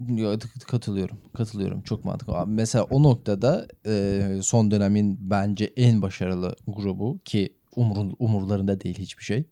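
A man's voice: fairly low pitch, fairly even intonation, moderate pace, few pauses, dark tone. A man co-hosting a podcast, discussing and arguing points in a casual, conversational way. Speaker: podcast co-host. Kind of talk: casual chat, music discussion.

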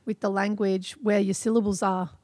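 A woman co-hosting a podcast in casual chat, chatty and quick to laugh, often trailing off and starting over. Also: medium pitch, little pitch movement, darkish tone, no echo. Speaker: podcast co-host.

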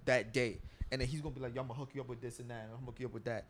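A man's voice: medium pitch, very fast, a little hiss or hum. A young man in casual group talk, explaining at length with many fillers and restarts. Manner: animated, lots of filler words and restarts.

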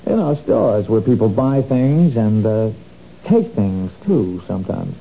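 A sly, hesitant blackmailer. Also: male voice, on the low side, sing-song, mellow, slight background noise. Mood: insinuating. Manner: halting, insinuating, full of uhs. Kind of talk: 1970s radio drama acting. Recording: dull old radio broadcast.

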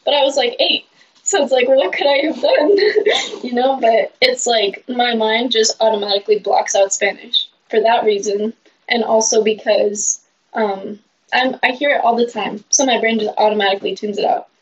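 A teenage girl chatting casually on a podcast, saying "like" a lot and trailing off softly at the ends of thoughts. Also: on the high side, moderate pace, slightly rough. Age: teens. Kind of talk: casual chat. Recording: dull lo-fi sound.

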